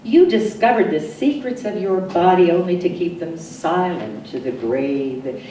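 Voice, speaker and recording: A woman poet reading her own work as a dramatic recitation, solemn and deliberate, with emphatic phrasing and pauses. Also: lowish voice, dark tone. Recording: echoey, distant mic, noisy room.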